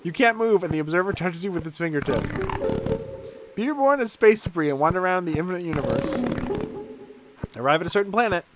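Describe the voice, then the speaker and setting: A man's voice: high-pitched, animated, quick pace, pauses often, heavy background noise. A man streaming a video game, reading its text aloud and reacting live in an irreverent, sweary, amused way.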